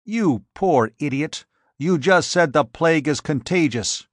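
A man's voice: fairly high pitch, animated, unhurried, no room sound, clean studio sound, voice pushed up. A man voicing a cold, curt male scientist who speaks in clipped lines.

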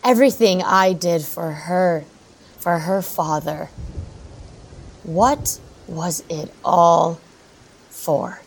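An actress playing a haunted, grieving middle-aged mother in an audio drama, speaking in a halting, emotional way. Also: on the low side, breathy edge, full character voice, low-quality recording. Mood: grieving, regretful. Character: grieving, worn-out mother haunted by her runaway daughter. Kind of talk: audio drama acting, monologue.

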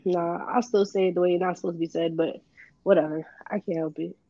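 A woman from New Jersey co-hosting a podcast, hoarse from a recent illness, talking in an easygoing, slangy way. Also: fairly low pitch, fairly even intonation, fast talker, mellow, muffled recording, a little hiss or hum. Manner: slangy, easygoing, laughs often. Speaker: podcast co-host. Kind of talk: casual chat.

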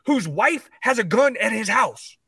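A man in a heated conversation, speaking earnestly and defensively, often halting and repeating himself as he makes his point. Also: very high voice, expressive, creaky, sharp tone, breathy edge, no room sound, clean studio sound. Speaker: podcast guest. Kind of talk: heated conversational storytelling and debate.

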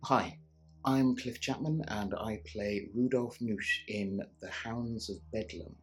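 A man's voice: lowish voice, slow. A middle-aged man, a voice actor, talking casually and chattily with frequent hesitation. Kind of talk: casual testimonial.

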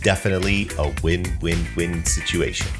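A man's voice: low voice, steady tone, normal speed. A man reading a scripted podcast outro and sponsor message with guitar music behind him. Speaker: podcast announcer.

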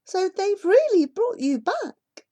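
A kindly man who keeps an elephant, welcoming travellers home in a storybook, as a woman reader puts him on. His voice rises high and lively, stretching words out slowly and warmly. The talk is friendly and generous. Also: exaggerated voice. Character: village elephant keeper, adult man, friendly.

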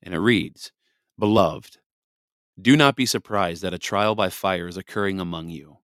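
A man from Michigan with a cold, hosting a podcast and reading scripture slowly after rambling chat full of fillers. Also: sits low, fairly bright tone, no echo, clean studio sound. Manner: rambling, many fillers, then slow deliberate reading. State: congested from a cold. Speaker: podcast host. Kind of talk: scripture reading with casual commentary. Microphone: close podcast mic.

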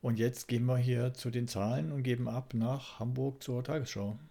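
Male co-host, creaky mid-pitched voice, dry irony, rambling casual banter. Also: fairly even intonation, normal speed, warm tone. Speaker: podcast co-host.

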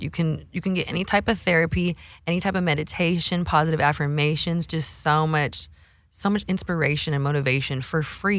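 A woman's voice: low-pitched, dry recording, studio quality. A woman guest, a music producer and songwriter, talking in an upbeat, chatty and slangy way. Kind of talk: casual chat.

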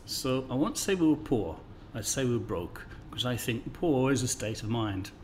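A man in his sixties from the English Midlands with a neutralised accent, a guest telling stories in a friendly, measured way in an office interview. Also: mid-range voice, expressive, a little hiss or hum. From England, Midlands. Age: 60s. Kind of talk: conversational interview storytelling.

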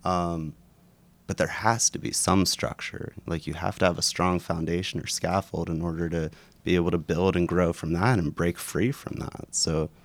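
A man in his thirties, a yoga and breathwork teacher, talking thoughtfully in an interview, halting and restarting often, a bit congested. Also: sits low, level tone, dry recording. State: congested and sniffling. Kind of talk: reflective conversational interview.

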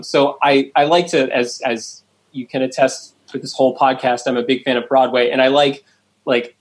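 A man co-hosting a podcast, chatting easily among friends. He talks in loose, rambling sentences packed with ums and likes, at an average pitch. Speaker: podcast co-host. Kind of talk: casual chat.

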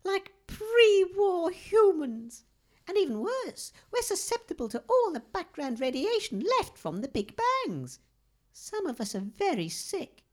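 A woman's voice: extremely high pitch, sing-song, measured pace, a little mellow, exaggerated voice. A woman voicing a weary, blunt mutant woman who speaks in a plain, matter-of-fact way. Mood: dry.

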